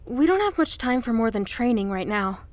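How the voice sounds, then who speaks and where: A woman's voice: fairly high pitch, big pitch swings, moderate pace. A woman playing an inquisitive young female knight trainee in an audio drama. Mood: curious.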